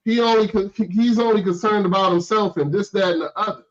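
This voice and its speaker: A man's voice: high voice, fairly quick. A man in casual, argumentative chat, blunt and emphatic, repeating phrases to drive his point home, on lo-fi stream audio. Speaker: show panelist.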